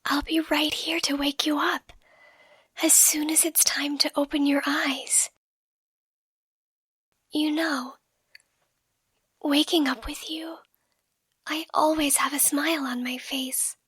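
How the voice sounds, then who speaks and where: A woman voicing an affectionate catgirl girlfriend in a soft, breathy ASMR bedtime roleplay, gentle and reassuring. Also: sits high, flat delivery, no room sound, clean studio sound. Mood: tender. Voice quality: soft, breathy, often whispered. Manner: tender, gentle, unhurried, reassuring. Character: affectionate catgirl girlfriend soothing her partner to sleep. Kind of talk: ASMR bedtime roleplay and slow storybook reading. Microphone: close microphone. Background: soft music.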